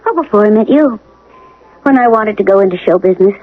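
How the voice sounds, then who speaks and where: An actress playing a middle-aged professor's practical, sharp-tongued wife in 1970s radio drama, with a wry, slangy American delivery. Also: female voice, mid-range voice, expressive, fast talker, phone-quality sound, close to an everyday voice. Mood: confiding. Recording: band-limited old radio broadcast with music.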